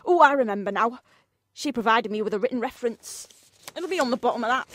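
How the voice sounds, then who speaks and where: Woman acting a brisk, officious office boss, higher-pitched. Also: lively intonation, fairly bright tone, no room sound, clean studio sound. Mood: politely matter-of-fact. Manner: formal, courteous, officious. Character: brisk, polite, breezily demanding office boss. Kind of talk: radio play dialogue.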